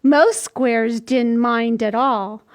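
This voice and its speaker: High-voiced older woman reading to children. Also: fairly even intonation. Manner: simple, deliberate explaining to small children. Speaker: library storytime host. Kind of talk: children's storytime read-aloud. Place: small indoor room.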